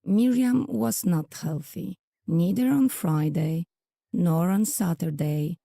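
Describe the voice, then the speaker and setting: A woman's voice: lowish voice, slow pace, vocal fry, warm tone, no room sound, studio quality. A woman narrating an audio drama from a script, telling the story in the third person.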